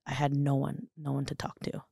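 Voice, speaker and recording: Young woman guest, candid and emotional, gravelly edge, dry close sound. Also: a bass voice, monotone, fairly quick, mellow, no echo. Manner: earnest, emotional, many fillers and restarts. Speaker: podcast guest. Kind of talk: candid interview conversation.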